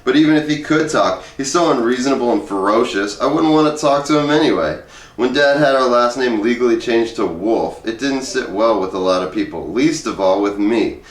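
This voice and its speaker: A man reading a humorous first-person essay aloud in a conversational, wry storytelling way. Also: mid-range voice. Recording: roomy echo, distant microphone.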